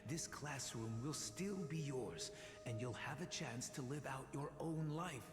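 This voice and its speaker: A man's voice: medium pitch, a little slow, natural voice. An actor playing a stern but caring older male teacher in a video game, earnest and admonishing. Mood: solemn, pleading. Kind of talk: theatrical video game voice acting. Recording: echoey long reverb, music behind.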